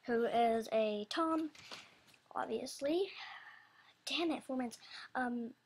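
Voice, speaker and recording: A woman's voice: high-pitched, takes it slowly, husky. A young woman with a youthful, somewhat childish voice, chatting casually without a script and rambling as she hesitantly searches for words. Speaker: video creator announcing animation voice auditions. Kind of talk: casual unscripted chat.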